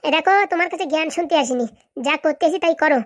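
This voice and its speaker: A woman's voice: high voice, racing, natural voice. A woman voicing a bossy, impatient, haughty female politician in a comedy cartoon, brusque and demanding. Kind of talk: comic cartoon dialogue.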